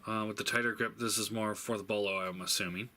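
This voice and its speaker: A man giving a casual, unscripted product review, thinking aloud in a halting way and stating blunt opinions. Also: fairly low pitch, steady tone. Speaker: toy reviewer. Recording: faint background noise.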